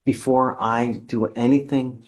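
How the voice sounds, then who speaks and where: A man speaking as a judge at a courtroom hearing, measured and deliberate, stern but patient. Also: mid-range voice, little pitch movement.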